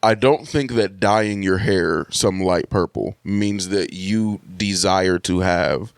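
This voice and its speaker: A man's voice: slow, gravelly, clean tone, dry recording, studio quality. A man co-hosting a podcast, chatting casually and laid-back about everyday topics. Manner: laid-back, says others call his voice deep, hears it as mid. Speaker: podcast co-host. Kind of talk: casual chat.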